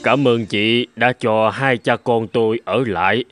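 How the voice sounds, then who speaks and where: A man's voice: mid-range voice, fairly even intonation, a little slow, fairly bright tone, close to an everyday voice. A man voicing an ailing, anxious older father in a cartoon, speaking in a weary, plaintive way. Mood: humble. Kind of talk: animated film dubbing. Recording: music clearly behind the voice.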